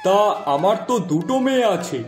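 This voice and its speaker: A man's voice: sits high, expressive, fairly quick, darkish tone, reverberant, faint music behind. A man playing a mild, henpecked village farmer and husband in a moral-story cartoon, homely and placating. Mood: curious, agreeable.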